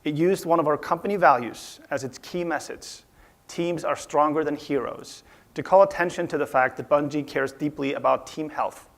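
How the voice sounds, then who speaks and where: A man from Iceland giving a measured, slightly formal conference talk about leading a design team. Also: on the high side, vocal fry. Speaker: conference speaker, studio UI/UX director. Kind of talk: presentation talk. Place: conference stage.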